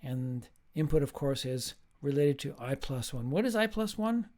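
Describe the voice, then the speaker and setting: A man, a professor, giving a slow, emphatic recorded lecture with playful asides. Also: medium pitch, lively intonation, darkish tone.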